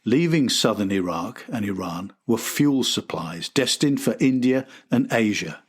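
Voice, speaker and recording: A man's voice: lowish voice, lively intonation, unhurried, slightly rough. A man hosting and narrating a history documentary from a script. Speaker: host and narrator.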